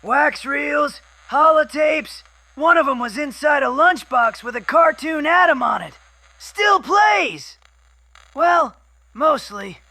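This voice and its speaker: Guy doing a super loud scavenger dude, bright sharp high voice, yells at the mic. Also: expressive, takes it slowly, full character voice. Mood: excited. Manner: yells everything into the microphone. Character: loud excitable male wasteland scavenger and collector. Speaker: radio guest.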